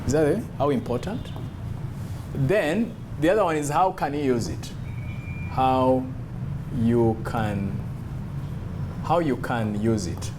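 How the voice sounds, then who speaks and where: A man's voice: mid-range voice, slow pace, pauses often, noisy background. A man giving an instructional talk as a public speaking coach, emphatic and demonstrative, repeating key phrases.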